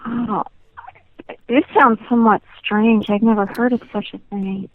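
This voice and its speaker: A woman's voice: on the high side, fairly even intonation, unhurried. A woman on a muffled, band-limited phone line, talking politely and hesitantly, sounding puzzled. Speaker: nanny receiving a prank call. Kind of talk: phone conversation. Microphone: telephone.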